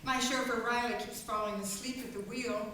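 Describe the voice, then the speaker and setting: An actress playing a kindly, wealthy, chatty widow in a stage radio play. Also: female voice, fairly high pitch, steady tone, fairly quick, sharp tone. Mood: gracious, curious. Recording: echoey hall from far off, faint noise.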